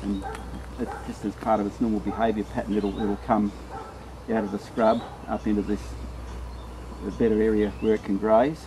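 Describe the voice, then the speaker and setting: A man's voice: lowish voice, little pitch movement, normal speed. A man giving an informal, practical field explanation in a plain-spoken manner, like a trainer showing people the job. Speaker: rabbit control trapper and trainer. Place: outdoors on rural land. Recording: clear outdoor rural background noise.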